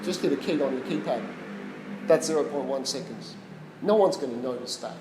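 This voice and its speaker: A man's voice: medium pitch, lively intonation, a little fast, background noise. A man with an Australian accent giving a casual tech talk to a small live audience, chatty and quizzing the room. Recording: roomy echo with long reverb.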